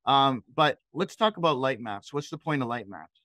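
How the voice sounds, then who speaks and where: A middle-aged man walks learners through software in a conversational tutorial style. His voice sits at a medium pitch and is recorded dry, with very little room sound. He explains step by step, posing questions as he goes. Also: lively intonation, normal speed, no echo. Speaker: trainer leading a live software session. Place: indoors, on a video call.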